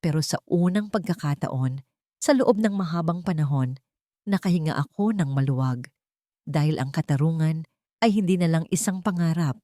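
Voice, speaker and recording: Low-voiced woman narrating an audio drama. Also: moderate pace, warm tone, dry recording, clean studio sound. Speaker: storyteller.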